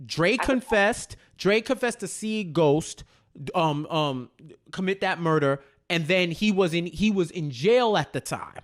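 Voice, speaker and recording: A man co-hosting a casual TV recap chat, retelling in an animated way and repeating phrases for emphasis. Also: sits high, unhurried. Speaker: co-host of a TV recap show.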